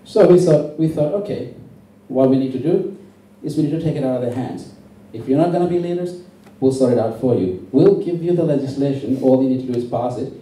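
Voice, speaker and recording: A man from Sri Lanka with a very dark, muted voice delivers an intense political speech. He is emphatic and deliberate. He is heard from a distance in a heavily echoing room. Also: medium pitch. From born in Sri Lanka. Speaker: campaign speaker at a public meeting. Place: indoor public meeting venue. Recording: very echoey, distant, faintly noisy hall recording.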